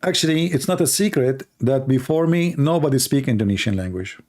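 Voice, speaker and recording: A man's voice: medium pitch, expressive, fairly quick, a little mellow. A man hosting a call-in show, talking in a rambling, sarcastic comedic monologue full of irony and fillers. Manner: mocking, rambling and ironic, with many fillers.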